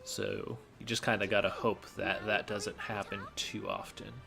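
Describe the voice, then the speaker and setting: A man's voice: on the low side, level tone, unhurried, gravelly, sharp tone, soft background music. A man giving explanatory gameplay commentary as a speedrunner, analytical and matter-of-fact.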